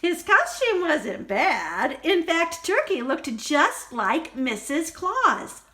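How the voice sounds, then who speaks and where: Woman storyteller, very lively intonation, slow deliberate pace, children's picture book reading, expressive. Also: sits high. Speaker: librarian storyteller.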